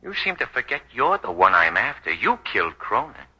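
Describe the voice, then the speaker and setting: Sardonic, animated tough radio cop. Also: male voice, mid-range voice, normal speed, slightly rough, heavily acted. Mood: needling, sardonic. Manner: dry, sarcastic, needling. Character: sardonic, tough, shrewd male police detective. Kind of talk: 1940s American radio drama acting. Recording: dull vintage 1940s radio recording.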